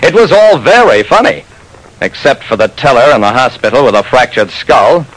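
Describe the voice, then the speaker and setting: A man's voice: mid-range voice, lively intonation, moderate pace, fairly bright tone, poor audio. A man narrating a classic radio detective drama as its lead, in a wry, dramatic first-person storytelling manner.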